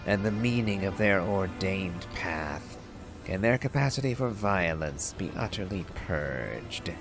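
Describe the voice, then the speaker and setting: A man voicing a proud, stern ancient dragon from a game, in formal, declamatory phrases. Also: deep voice. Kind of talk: dramatic reading of game lore.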